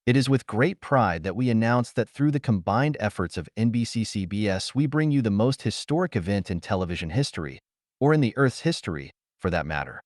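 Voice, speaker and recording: A man voicing a pompous, excitable show-business boss with a sonorous, grandiose announcing manner, in an audiobook. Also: lowish voice, brisk, no echo, clean studio sound, natural voice. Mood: grand, solemn.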